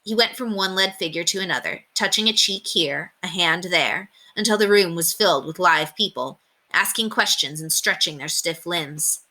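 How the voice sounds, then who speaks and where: A woman reading a children's story aloud as an expressive storyteller. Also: on the low side, moderate pace.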